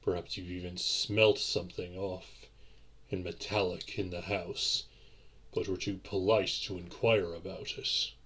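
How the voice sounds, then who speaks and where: Man playing a deep, slow, husky vampire boyfriend. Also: level tone, a bit bright, full character voice. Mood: grave, confiding. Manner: formal, old-fashioned, deliberate and theatrical phrasing. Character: courtly centuries-old male vampire boyfriend, tender and darkly secretive. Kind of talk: romantic audio roleplay addressed to the listener.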